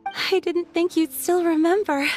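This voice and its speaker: An actress playing a gentle, fragile fairy woman in a video game, earnest and tender. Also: extremely high pitch, steady tone, unhurried. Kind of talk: video game cutscene voice acting. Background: background music.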